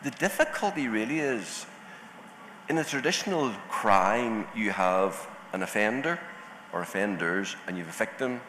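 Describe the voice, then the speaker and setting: A man from Northern Ireland with a Northern Irish accent, speaking deliberately as a panelist at a conference. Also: fairly low pitch, lively intonation, pushed and bright, slight background noise. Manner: deliberate, enunciating carefully. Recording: echoey hall with faint ambience.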